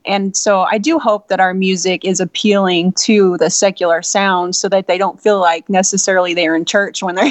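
Low-voiced woman, earnest and dry. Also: no room sound. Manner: earnest, many "you know" fillers. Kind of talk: interview answers.

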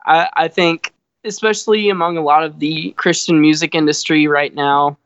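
A man's voice: on the high side, fairly even intonation, normal speed, fairly bright tone, smooth, no room sound. A man from a band answering interview questions in a thoughtful, easygoing way. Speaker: band member. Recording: dull, lo-fi sound.

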